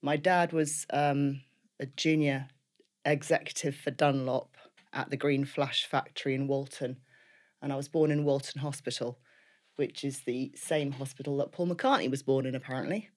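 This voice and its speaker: A woman in her fifties with a clear Liverpool accent, talking through her life story as an interview guest. She is chatty and laughs often, with plenty of ums and restarts as she goes. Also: very deep voice, steady tone, normal speed. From Liverpool, England.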